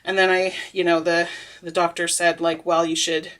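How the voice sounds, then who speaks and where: A woman's voice: on the low side, flat delivery, normal speed, a bit bright. A young woman making soap and chatting through it, with a stuffy, sniffly nose, laughing often and rambling with lots of fillers. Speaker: soap maker. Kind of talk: casual vlog narration while working.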